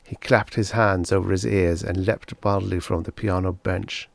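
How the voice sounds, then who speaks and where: A man's voice: low-pitched, fairly even intonation, moderate pace, creaky, dry recording. A man reading a scripted short story aloud as an audiobook narrator.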